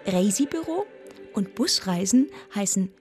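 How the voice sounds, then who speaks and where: An actress playing an observant, gently wry woman narrator, telling her travel story in a measured radio-drama style. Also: medium pitch, animated, natural voice. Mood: amused recollection. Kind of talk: scripted first-person narration. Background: music.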